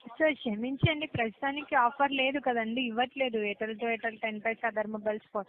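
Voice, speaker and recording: A woman's voice: on the high side, fairly even intonation, a little fast, a little hiss or hum. Formal woman agent on a muffled phone line. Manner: formal, apologetic, repeats set phrases. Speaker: customer care agent. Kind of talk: scripted polite phone customer service. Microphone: telephone line.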